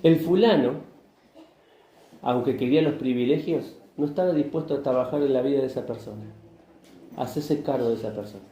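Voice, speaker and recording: A man's voice: medium pitch, fairly quick, long pauses, warm tone, slight background noise. A man with an Argentine accent, a pastor preaching a conversational sermon in a church, asking the congregation questions and acting out short bits of dialogue. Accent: Argentine Spanish. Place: church hall. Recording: echoey church room, faint ambience.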